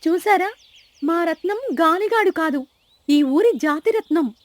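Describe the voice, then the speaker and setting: A woman voicing a young village woman in an animated story, a loyal helper speaking in a plaintive, expressive way. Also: very high-pitched, unhurried, voice pushed up. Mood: proud. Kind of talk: animated storybook character acting.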